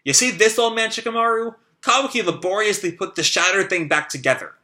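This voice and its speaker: A man voicing a shouting hotheaded teen boy ninja. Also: high-pitched, fairly quick, pitched above the performer's own voice. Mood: indignant. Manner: shouting, indignant.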